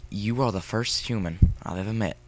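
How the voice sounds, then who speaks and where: Man playing an earnest, low-voiced teenage boy. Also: moderate pace, a little mellow, close to an everyday voice. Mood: earnest confession. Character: earnest seventeen-year-old boy, secretly immortal. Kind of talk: fan audio drama acting.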